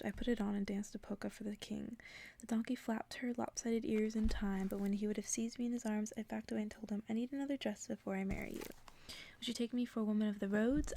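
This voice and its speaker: Softly whispering woman, creaky and mellow. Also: a little high, steady tone, fairly quick, a little hiss or hum. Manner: intimate, hushed storytelling. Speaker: ASMR reader. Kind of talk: soft whispered ASMR reading of a story.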